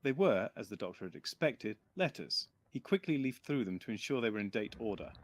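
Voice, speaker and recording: A man narrating an audiobook in a measured storytelling manner, with lively character voices. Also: lowish voice. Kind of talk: reading an audiobook aloud.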